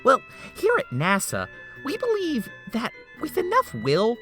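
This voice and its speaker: A woman playing a theatrical space agency representative in an amateur game dub, giving a grandiose, hammy pitch. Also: huge pitch swings, a little slow, fairly bright tone, faint music behind, full character voice.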